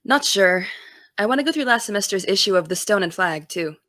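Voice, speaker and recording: A woman's voice: mid-range voice, animated, a little fast, bright, forceful tone, no room sound. Actress doing a young college-bound girl, wry n distracted, casual audio drama chat, dry close sound. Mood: busy, chatty. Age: young adult. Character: driven, distracted college-bound young woman, dryly joking. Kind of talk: naturalistic audio drama dialogue.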